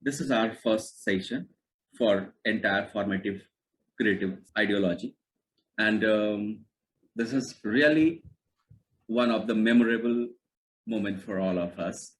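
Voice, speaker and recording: A man's voice: on the low side, measured pace, pauses often, a little hiss or hum, poor audio. A man, a Hindi speaker, interviewing and thanking his guest in a polite, formal way. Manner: polite, formal, many soft affirmations.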